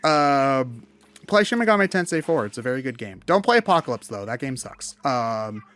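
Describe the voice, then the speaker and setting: A man chats as co-host of a gaming commentary. His delivery is casual and opinionated, building into animated rants with loose, casual swearing. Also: mid-range voice, normal speed. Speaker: co-host of a video game commentary show.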